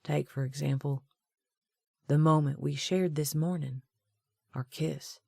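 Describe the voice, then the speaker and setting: A woman voicing a passionate grown man, a romantic hero who murmurs softly and snaps back hard when challenged. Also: normal speed, vocal fry, dry recording, studio quality. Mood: tender. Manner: low murmured tenderness turning to heated retorts.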